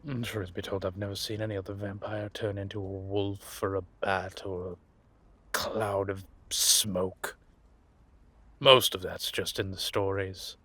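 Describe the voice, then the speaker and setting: A man playing a world-weary, wry and menacing vampire intelligence operative in an audio roleplay, confiding in a measured, drawn-out way. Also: deep voice, little pitch movement, bright, forceful tone, no echo, heavily acted. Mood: wry, amused. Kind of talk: roleplay audio drama monologue addressed to a listener.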